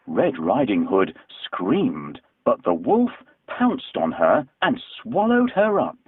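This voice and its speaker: A man narrating a children's fairy tale from a script in an expressive storytelling manner. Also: lowish voice, slow pace, slightly rough, no room sound. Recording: muffled telephone-like narrow band.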